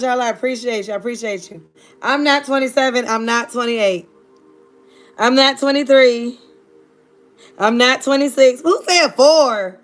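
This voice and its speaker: A woman in her thirties from Virginia, chatting sassily and playfully with viewers on a live stream. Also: high-pitched. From Virginia, United States. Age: 30s. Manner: sassy, playful, talks straight to her chat. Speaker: livestreamer.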